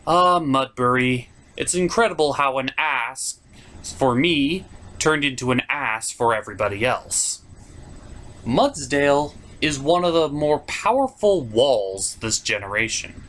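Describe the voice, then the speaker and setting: A man's voice: mid-range voice, lively intonation, measured pace, fairly bright tone. A man hosting a top 10 countdown, giving opinionated video game commentary in an informal, emphatic way. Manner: informal, emphatic, with mild swearing.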